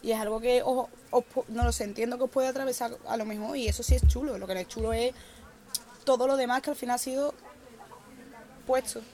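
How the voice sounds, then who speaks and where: A young woman with an Andalusian Spanish accent talks quickly in a casual group conversation, her voice sitting a little high. She speaks with passion and often restarts her sentences mid-thought. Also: fairly even intonation, mellow, rough recording. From Andalusia, Spain.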